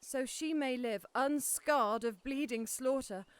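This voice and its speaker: A woman's voice: high voice, slow pace, clear, resonant tone, no room sound. An actress playing a widowed queen who mourns her sons and accuses the king in impassioned verse. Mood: defiant grief. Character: grieving widowed queen, fierce mother. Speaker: actor. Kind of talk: Shakespearean audio drama.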